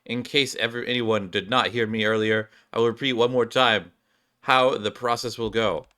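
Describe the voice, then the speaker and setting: A man's voice: fairly low pitch, steady tone, normal speed, sharp tone. A man voicing a commanding cooking contest judge who makes formal announcements in a game story.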